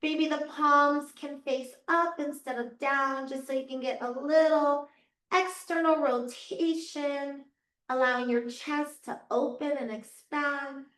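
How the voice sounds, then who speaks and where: A woman yoga teacher guiding a class through slow, soothing cues, her voice a little raspy from losing it. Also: high-pitched, steady tone, a bit bright. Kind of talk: guided yoga class instruction. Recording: echoey room, voice from far off.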